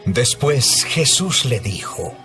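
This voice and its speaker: A man narrating a dramatized Bible story as scripted narration, in a measured storytelling manner. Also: medium pitch, very animated, sharp tone, faint music behind.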